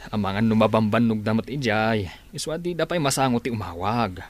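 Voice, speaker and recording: A man playing a worried old farmer father in an Ilocano radio drama, with a husky voice. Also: on the low side, brisk, lightly acted. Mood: anxious, stern.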